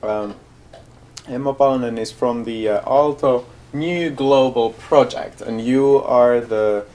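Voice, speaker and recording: A man hosting a lunch talk, introducing a guest speaker in a halting way with many fillers. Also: medium pitch, a little mellow, slight background noise. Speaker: event host.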